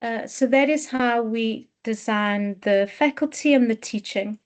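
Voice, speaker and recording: A woman who heads a dance program, giving a slow, measured presentation on a video call with many restarts. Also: a little high. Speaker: head of program. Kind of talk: online info session presentation.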